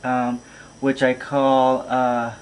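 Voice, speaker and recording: A man's voice: medium pitch, completely flat delivery, drawn-out words, slight background noise, poor audio. A man talking casually and reflectively in a podcast as a filmmaker, hesitating as he thinks aloud. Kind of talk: casual reflective vlog monologue.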